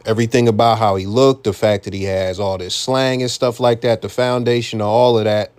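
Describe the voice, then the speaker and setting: American dude doing reaction commentary, lowish voice, blunt, cusses a lot. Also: male voice, steady tone, brisk, a little mellow, clean tone. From United States. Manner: blunt, profane, conversational. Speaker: commentary channel host.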